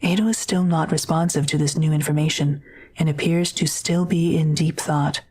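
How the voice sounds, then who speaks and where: A woman's voice: low-pitched, fairly even intonation, moderate pace, a little mellow, breathy edge. A woman reading scripted narration for an audio drama as its narrator.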